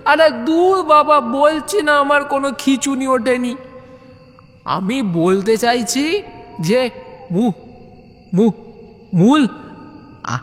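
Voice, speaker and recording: A man's voice: very high voice, takes it slowly, long pauses, a bit bright, voice pushed up, heavily acted. A man voicing an insistent, easily annoyed club lad with a heavy stammer in a comic audio story. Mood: frustrated. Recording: long reverb, music behind.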